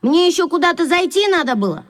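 An actress playing an earnest, argumentative third-grade schoolboy in a children's radio play, with an insistent, protesting manner. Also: female voice, very high-pitched, sing-song, quick pace.

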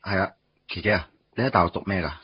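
A man's voice: low-pitched, quick pace, slightly rough. An actor playing a joking young man, eager to please, in a radio drama. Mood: flustered joking. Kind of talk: Cantonese radio drama acting. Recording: dull radio drama recording over music.

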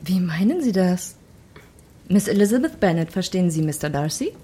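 A woman's voice: lowish voice, big pitch swings, normal speed, dark tone, breathy edge. Affected, flirtatious society lady. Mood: coquettish. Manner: mannered, coquettish, formal. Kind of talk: naturalistic German radio drama acting.

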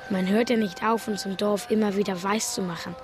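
Eine Schauspielerin spricht in einem literarischen Hörspiel eine staunende, leicht spöttische Stimme aus dem Dorf. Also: female voice, mid-range voice, fairly even intonation, a little fast, over music, natural voice. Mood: wry, wondering. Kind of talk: literary radio drama.

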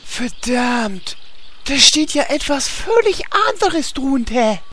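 A man voicing an excitable, paranoid, dim-witted male adventurer in a German comedy fantasy audio drama, rambling in alarm. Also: extremely high pitch, slow pace, slight background noise, full character voice. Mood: puzzled.

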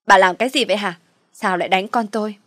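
A woman's voice: medium pitch, normal speed, dry recording, natural voice. Woman plays frantic middle-aged mother, imploring and emotional, audio drama, dry sound. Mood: outraged. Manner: anxious, imploring.

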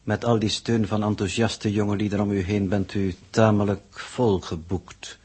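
An aloof male space mission coordinator in a 1960s science fiction radio drama. His voice is low and somewhat husky. He speaks formally and with dry, measured sarcasm, like a scientist who prefers to be alone. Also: level tone, a little mellow. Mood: sardonic.

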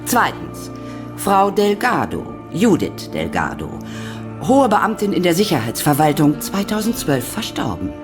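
An actress playing a cool, calculating conspirator in a radio drama, clipped and methodical. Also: female voice, lowish voice, big pitch swings, a little slow, over music. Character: cool, calculating female conspirator briefing a plan.

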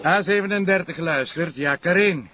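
Man playing calm police detective, Flemish accent, slightly high voice, dull recording, clear noise. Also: normal speed, lightly acted. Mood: attentive. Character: calm, loyal male police detective sidekick. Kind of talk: TV crime drama acting.

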